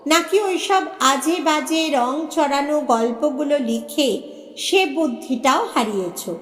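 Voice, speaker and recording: A woman voicing a grave, blunt, eccentric man in his late fifties in an audio story, slow, earnest and admonishing. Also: high voice, animated, a bit bright, full character voice. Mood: stern, reproachful. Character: eccentric, grave, blunt 58-year-old gentleman. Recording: echoey with long reverb.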